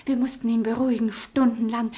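Radio play: a genteel French lady, high-pitched, theatrical, anxious and coaxing. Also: little pitch movement, fairly quick, warm tone, slightly rough. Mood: dramatic pleading.

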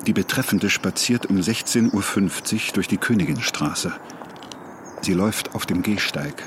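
An actor playing a sober witness who gives a dry, factual statement in a documentary drama. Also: male voice, low-pitched, unhurried. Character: sober male witness giving an official police-style statement. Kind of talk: dramatized reading of a report. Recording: clear background noise.

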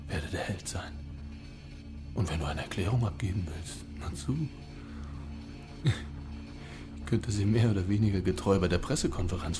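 Cynical policeman, fairly low pitch, sardonic menace, deliberate delivery, radio play with music underneath. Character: cynical, menacing male police officer, smugly in control. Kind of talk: German radio play acting, monologue.

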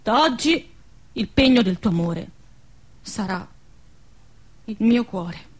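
A woman playing a poor, devoted village wife in a wartime radio drama, with a central Italian dialect accent from southern Lazio and an earnest, expressive manner. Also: mid-range voice, slow. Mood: tender, solemn. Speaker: radio play actress.

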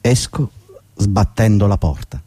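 An actor playing a bitter, desperate older employee who has been fired, in a theatrical radio drama, husky and agitated, swinging from muttering to outbursts. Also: male voice, on the low side, lively intonation, measured pace.

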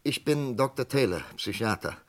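An actor playing an insistent, impassioned male psychiatrist in a radio play, urgent and halting, with sarcastic rhetorical turns. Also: medium pitch, animated, natural voice.

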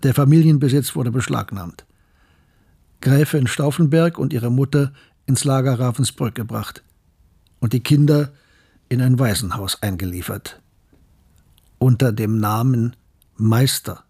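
An actor playing a grave scientist who narrates his memories of wartime tragedy in a sombre, measured way for a radio drama. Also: medium pitch, mellow, slightly rough. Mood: grave, bleak.

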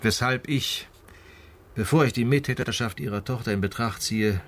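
A man playing a calm, methodical police inspector in a radio crime drama, speaking in a measured, matter-of-fact way. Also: medium pitch, slight background noise, natural voice. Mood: thoughtful, measured. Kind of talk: naturalistic German radio drama dialogue.

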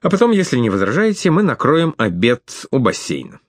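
A man's voice: medium pitch, huge pitch swings, brisk, no echo, clean studio sound. A man reading the lines of a polite, gallant man in his thirties in an audiobook. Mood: courteous. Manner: polite, smooth, smiling. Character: gallant man of thirty-five, courteous host.

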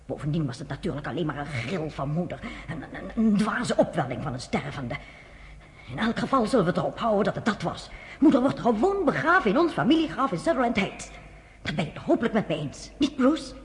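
An actress playing the general's status-minded sister in a Dutch radio drama, arguing in an insistent, scoffing way. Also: female voice, medium pitch, sing-song, fairly quick, big-room sound, a little hiss or hum, natural voice.

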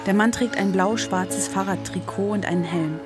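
A woman's voice: mid-range voice, moderate pace, loud music behind the voice. A woman giving scripted first-person narration as the author of the piece.

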